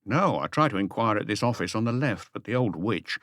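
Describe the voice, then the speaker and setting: A man's voice: fairly low pitch, expressive, a little fast, a bit bright, no room sound, studio quality. A man voicing a grumbling neighborhood police inspector in an audiobook, informal and complaining.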